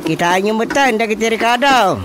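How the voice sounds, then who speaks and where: A man's voice: high-pitched, lively intonation, fairly quick, a crowd in the background. An actor playing a bossy older village chairman in a Filipino radio drama comedy, authoritative and instructing, with a northern Philippine accent. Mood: commanding.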